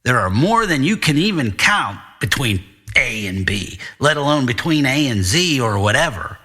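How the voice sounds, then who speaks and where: A man's voice: mid-range voice, big pitch swings, normal speed, pushed and bright, husky, heavily acted. A man voicing a genial, evasive school counselor who talks in a leisurely, mannered, circling way in an audiobook story. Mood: cryptic, reassuring. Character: genial, evasive male school counselor, self-styled concierge. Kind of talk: audiobook character dialogue.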